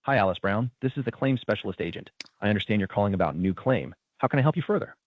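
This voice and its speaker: A man's voice: lowish voice, animated, quick pace, no room sound. A man's synthetic voice acting as a polite, procedural automated claim agent with scripted customer service lines. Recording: narrow tinny phone-like sound.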